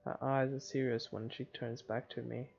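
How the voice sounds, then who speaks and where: A man's voice: medium pitch, flat delivery, normal speed, gravelly, darkish tone, slightly rough, muffled recording. A man reading a visual novel aloud as dramatic narration for a playthrough.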